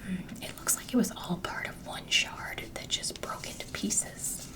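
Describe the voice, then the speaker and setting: A woman doing an ASMR doctor roleplay, speaking gently and reassuringly to a patient in soft whispers. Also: measured pace, far from the mic.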